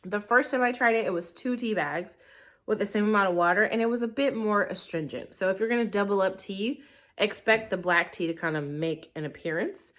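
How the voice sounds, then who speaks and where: A woman's voice: mid-range voice, fairly quick, fairly bright tone. A young woman with a blocked-up, slightly nasal voice, chatting to her camera at home. She rambles loosely, hedging with ums and likes as she talks through a tea review. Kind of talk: casual review vlog. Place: living room at home. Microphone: camera in portrait mode.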